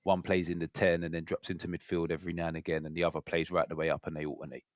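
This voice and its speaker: Deep voiced guy hosting a footy podcast, blunt, sweary, close dry sound. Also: level tone, rapid-fire, no echo. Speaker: football podcast host. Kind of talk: casual football chat.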